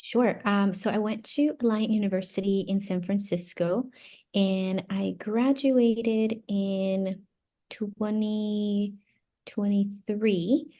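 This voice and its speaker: A woman from Brazil, a native Portuguese speaker, giving casual interview answers and haltingly thinking aloud. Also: mid-range voice, fairly even intonation, dark tone. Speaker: interview guest, psychology doctoral graduate.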